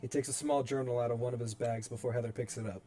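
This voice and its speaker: A man's voice: mid-range voice, fairly even intonation, fast, warm tone. A man reading stage directions as narrator in a dramatic screenplay table read. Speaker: narrator and reader.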